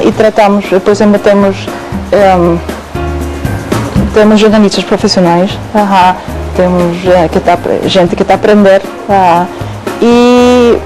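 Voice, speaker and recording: A woman's voice: medium pitch, low-quality recording. A woman working at a newspaper, answering interview questions hesitantly with many fillers. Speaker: newspaper staff member.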